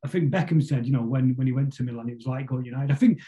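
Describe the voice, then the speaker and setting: A middle-aged man with a Manchester accent, chatting casually as an interview guest in a rambling, halting way full of tag questions. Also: mid-range voice, warm tone, highs cut off. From Manchester, England. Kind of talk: casual conversational football chat.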